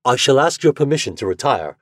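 A man acting a firm, husky, uneasy secretary. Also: mid-range voice, very animated, fairly quick, dry recording, studio quality. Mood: determined, uneasy. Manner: firm, formal politeness. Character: uneasy, determined male secretary, politely escaping.

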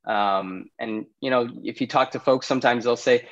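Relaxed chatty man, muffled audio. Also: mid-range voice, normal speed. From Pakistan. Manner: relaxed, chatty, laughs often. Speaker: podcast guest, startup CEO. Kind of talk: casual interview conversation.

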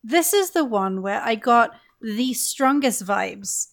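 A woman with a fairly high voice chats as a podcast guest. She takes her time, speaking slowly and informally, with playful expression. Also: animated, crowd noise. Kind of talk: casual chat.

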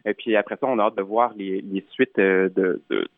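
A man's voice: on the low side, fairly even intonation, moderate pace, no room sound, phone-quality sound. A man with a Québécois accent giving a casual interview as a guest, often restarting his sentences. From Quebec, Canada. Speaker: singer in a vocal group, interview guest.

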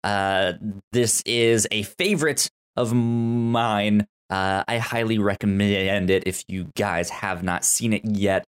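A man hosting a podcast in casual TV review chat, with a halting, stammering delivery that often repeats words. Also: on the low side, clear, resonant tone. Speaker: podcast host.

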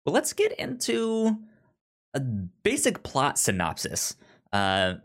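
A man's voice: medium pitch, huge pitch swings, slow, a bit bright. A man co-hosting a podcast in a casual book-chat style, often stammering and repeating syllables and words. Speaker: podcast co-host.

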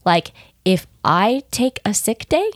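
A young woman co-hosting a podcast, soft-spoken and rambling a little, getting over a cold. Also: medium pitch, big pitch swings, slow pace, no room sound, clean studio sound. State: recovering from a cold. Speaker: podcast co-host. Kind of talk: casual podcast chat.

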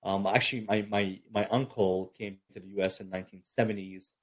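A low-pitched man from Egypt talks about his life in a relaxed interview. He speaks casually with plenty of ums and a humble, chatty way. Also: fairly quick, breathy edge. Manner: relaxed, chatty, self-deprecating with fillers. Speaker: army chaplain and counselor.

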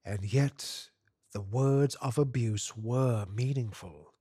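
A man reading literary fiction aloud as an expressive narrator. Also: medium pitch, slow, husky, no room sound, clean studio sound. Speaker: story narrator.

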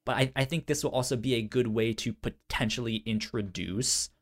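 A man's voice: mid-range voice, normal speed. A man hosting a casual pop-culture podcast in an informal, slangy way, often stuttering and repeating syllables. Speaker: podcast host.

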